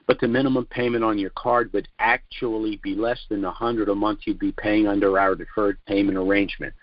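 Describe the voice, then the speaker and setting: Low-voiced older man, dry muffled audio. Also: no room sound. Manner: deliberate, formal, measured phrasing. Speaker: financial trust consultant hosting a conference call. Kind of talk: sales pitch presentation. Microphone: telephone conference call. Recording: muffled lo-fi conference call audio.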